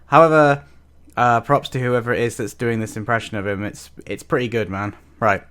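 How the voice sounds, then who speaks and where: A man's voice: mid-range voice, fairly even intonation, a little fast, a bit bright, smooth. A man hosting a reaction video with casual, amused, rambling off-the-cuff commentary.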